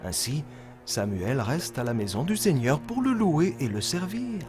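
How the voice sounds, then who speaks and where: Male narrator for a children's Bible cartoon, animated intonation, medium pitch, faint music behind. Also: a little slow. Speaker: storyteller.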